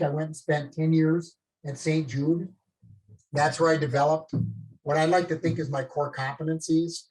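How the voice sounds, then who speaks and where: A man's voice: a little high, a little mellow, low-quality recording. A man in his late fifties from northern Minnesota with a Minnesotan accent, talking about his career as a guest on a live video call, rambling and self-deprecating with many restarts. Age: late 50s. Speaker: featured guest. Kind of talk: conversational talk on a live call.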